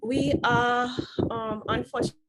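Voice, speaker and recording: A woman's voice: on the high side, steady tone, normal speed, noisy background. A young woman with a Trinidadian accent, explaining as a specialist on an online panel. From Trinidad and Tobago. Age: young adult. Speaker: circular economy specialist, panelist.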